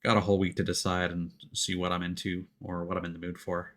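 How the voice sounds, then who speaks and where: A man's voice: deep voice, monotone, fast, fairly bright tone. A man livestreaming a game with casual commentary, musing aloud in a laid-back way. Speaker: livestream host. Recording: game music behind the voice. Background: video game music.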